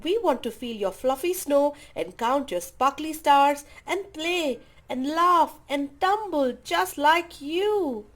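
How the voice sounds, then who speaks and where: A woman's voice: high-pitched, expressive, slow, hardly any pauses, pitched above the performer's own voice. A woman voicing a dreamy, eager female owl with a sweet, pleading manner in a children's storybook.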